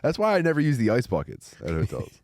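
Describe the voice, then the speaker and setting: A man's voice: mid-range voice, wildly swooping pitch, fast talker, creaky, darkish tone, no echo, studio quality. A man bantering and reading aloud in a joking, exaggerated, colloquial way. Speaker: guest narrator and comedian.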